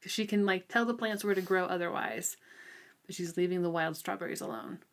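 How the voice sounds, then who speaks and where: A woman co-hosting a casual book discussion, talking in an informal, thoughtful way with halting phrases. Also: on the low side, steady tone, gravelly. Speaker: book podcast co-host.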